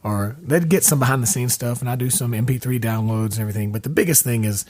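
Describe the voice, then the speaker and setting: A man's voice: mid-range voice, quick pace, darkish tone. A man hosting a podcast from his home studio room, chatting casually in an easygoing, rambling way. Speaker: podcast host. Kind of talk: casual chat.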